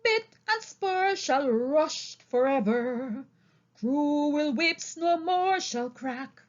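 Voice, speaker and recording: A woman voicing an old male boar, a solemn, rousing orator addressing fellow animals in an audiobook, deliberate and emphatic. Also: high-pitched, lively intonation, muffled recording, exaggerated voice. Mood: rousing, singing. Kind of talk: audiobook character speech and song.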